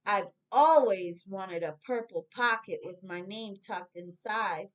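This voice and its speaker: A woman voicing a small, wide-eyed male toy bear in a storybook, excited and full of childlike wonder. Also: medium pitch, takes it slowly, close to an everyday voice. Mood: earnest. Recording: dull lo-fi sound.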